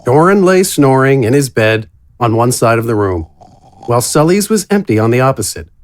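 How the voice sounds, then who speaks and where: A man's voice: medium pitch, big pitch swings, moderate pace. A man narrating a scripted fantasy story as an audiobook-style narrator.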